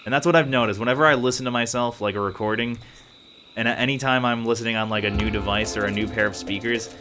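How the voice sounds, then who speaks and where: A man's voice: mid-range voice, fast talker. Chatty man over game music. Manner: chatty, rambling, thinks aloud. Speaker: let's play gamer. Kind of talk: casual gameplay commentary.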